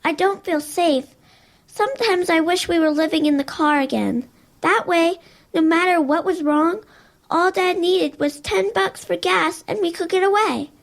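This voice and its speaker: A woman's voice: very high-pitched, unhurried, creaky, exaggerated voice. A woman playing a timid, worried little schoolgirl in an audio drama, speaking hesitantly and plaintively. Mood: worried, hurt.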